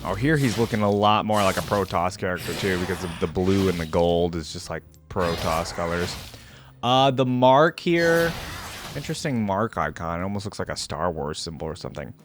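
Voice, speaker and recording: Animated man giving casual reaction commentary. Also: sits low, normal speed, music in the background. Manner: informal, exclamatory, thinking aloud. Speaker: video game reaction host.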